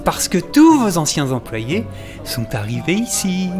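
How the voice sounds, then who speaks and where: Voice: medium pitch, huge pitch swings, unhurried. A performer playing a team member who explains a mishap, hesitant at times, in an amateur audio drama. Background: music.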